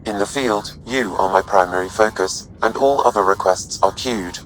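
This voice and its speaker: An actor voicing a calm, courteous male artificial intelligence in a video game, speaking in measured, formal, precise phrases. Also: lowish voice, slight background noise, close to an everyday voice, low-quality recording. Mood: calm, explanatory.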